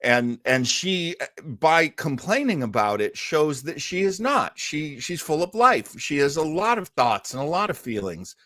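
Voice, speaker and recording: Animated man with a lively, swooping pitch. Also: a little slow, hardly any pauses, no echo, clean studio sound. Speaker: podcast host. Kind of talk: casual talk show discussion.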